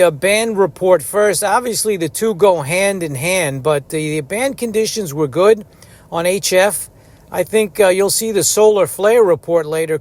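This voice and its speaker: A man who makes amateur radio videos, speaking casually as he narrates and operates. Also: a little high.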